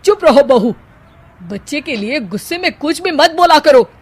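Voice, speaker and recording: A woman voicing a sharp-tongued, sarcastic mother-in-law in a family cartoon, mocking and scolding. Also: high voice, animated, moderate pace. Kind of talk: comic cartoon dialogue.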